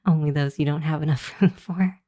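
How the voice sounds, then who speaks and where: A woman's voice: low-pitched, very animated, moderate pace, mellow. A woman playing a nervous, apologetic young hellhound girl in an ASMR roleplay, speaking to the listener with a hesitant, stammering delivery. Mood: cautious, hesitant.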